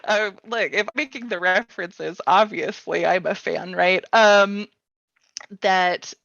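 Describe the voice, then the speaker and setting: A woman's voice: medium pitch, takes it slowly, vocal fry, fairly bright tone, dry recording, lo-fi sound. A woman speaking off the cuff as the host of a casual panel, in an informal, joking and rambling way. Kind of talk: casual, unscripted panel chat.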